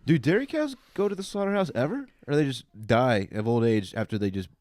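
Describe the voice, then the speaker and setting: A man's voice: mid-range voice, lively intonation, fairly quick, a little mellow, dry recording, clean studio sound. A man co-hosting a podcast in casual, slangy banter. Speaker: podcast co-host.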